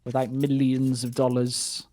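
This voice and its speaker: A man's voice: medium pitch, monotone, a little slow, mellow. A man doing live play-by-play esports commentary, joking and wry in his banter. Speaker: esports caster.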